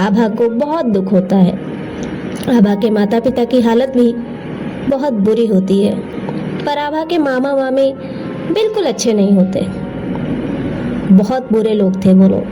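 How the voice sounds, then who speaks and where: A woman narrating a bedtime story in a slow, expressive storytelling manner. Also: fairly high pitch, background noise. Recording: narration over loud music.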